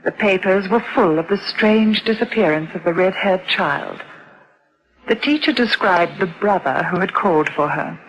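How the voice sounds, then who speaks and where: A woman's voice: mid-range voice, moderate pace, natural voice. An actress playing a haunted, frightened mother who tells her own ghostly tale as dramatised horror narration, on a noisy old radio-drama recording. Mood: haunted, grave. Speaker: narrator and lead character.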